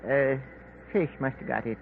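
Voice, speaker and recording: A man's voice: medium pitch, normal speed, creaky, close to an everyday voice. An actor playing a crafty, grasping old backwoods island man with a folksy, haggling drawl in a 1940s radio drama. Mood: sly haggling. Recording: tinny, noisy 1940s radio recording.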